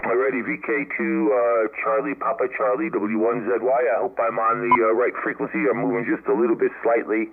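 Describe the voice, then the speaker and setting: An American man working as an amateur radio operator, speaking haltingly in an unscripted exchange over a radio link. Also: mid-range voice, level tone, gravelly, background noise. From United States. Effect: radio transmission. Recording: narrow band-limited ham radio audio. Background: radio band sound.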